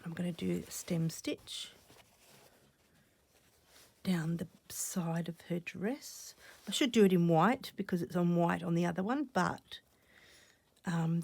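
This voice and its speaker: Woman host, croaky after a cough, low and gravelly, chatty crafting talk that slips into half-whispers, close dry mic. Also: no echo. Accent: Australian. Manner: chatty, thinking aloud, drops to soft half-whispers while stitching. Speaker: stitching channel host. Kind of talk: casual crafting vlog chat.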